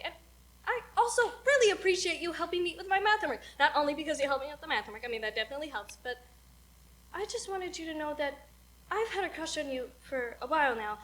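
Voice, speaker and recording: Woman acting a nervous, high-voiced lovesick schoolgirl. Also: fairly bright tone. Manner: hesitant, stammering, fidgety. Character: nervous, lovesick teenage schoolgirl rehearsing a confession. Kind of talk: solo stage performance of a comic play.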